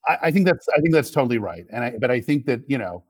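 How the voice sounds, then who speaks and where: A man speaking as a guest in a casual political discussion, measured and hesitant, restarting his phrases. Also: medium pitch, very animated, no echo, clean studio sound. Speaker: podcast guest, media executive.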